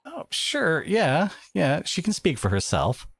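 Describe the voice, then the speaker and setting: Guy acting as protective boyfriend for asmr roleplay, really animated pitch, close dry recording, earnest and a bit sarcastic. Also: unhurried, vocal fry, slightly rough, dry recording, full character voice. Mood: proud, tender. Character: devoted, protective boyfriend confronting his partner's family, adult man.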